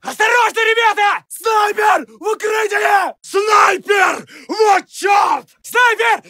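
An actor playing an alarmed Russian soldier of the First World War in a video game, shouting terse, urgent warnings to his comrades. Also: extremely high pitch, slow, dry recording, clean studio sound, a faint crowd, full character voice. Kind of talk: shouted battlefield alert barks.

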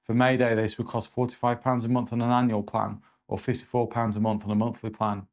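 A man in his forties presenting a scripted review in a measured, matter-of-fact way. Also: medium pitch, monotone, a little mellow.

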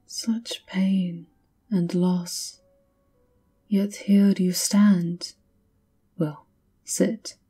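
Woman playing a dark-voiced, slow, seductive vampire countess. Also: lowish voice. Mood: hushed, knowing, then cold, proud. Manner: imperious, slow, teasing, often dropping to breathy whispers. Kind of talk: ASMR roleplay monologue. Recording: violin music behind the voice.

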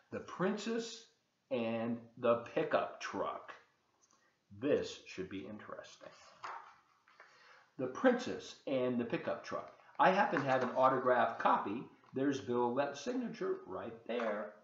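Male, 70s, folksy children's story reader, lively intonation, roomy dull home webcam sound. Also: mid-range voice, slight background noise. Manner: folksy, expressive, addressing young listeners. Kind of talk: children's read-aloud with chatty asides. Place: open living room and kitchen, indoors. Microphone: webcam at desk distance.

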